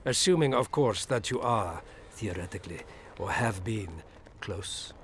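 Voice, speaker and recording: An actor playing a suave, middle-aged imperial ambassador in a video game, formal, measured and insinuating. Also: male voice, fairly low pitch, lively intonation, slight background noise. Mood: politely probing. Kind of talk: video game dialogue acting. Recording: music behind the voice.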